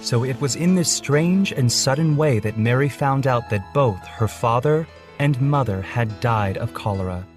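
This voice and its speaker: A man's voice: mid-range voice, measured pace, hardly any pauses. A man narrates a scripted story for children. His voice sits in a middle range, and faint music plays beneath the reading.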